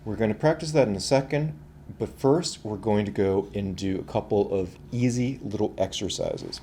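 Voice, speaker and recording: A man talking through voice and breathing exercises in a relaxed, conversational way. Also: lowish voice, normal speed, a little hiss or hum. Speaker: voice coach. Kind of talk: instructional talk.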